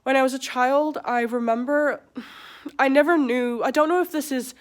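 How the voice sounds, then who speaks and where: A woman in her twenties from England who speaks with an American accent, talking hesitantly with many pauses and fillers in a personal confessional vlog. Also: sits high. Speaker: vlogger.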